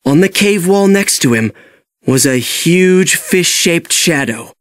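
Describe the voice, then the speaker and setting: A man reading a children's story as an expressive, scripted read-along narration. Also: a little high, slow pace, dry recording, studio quality. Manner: animated storytelling.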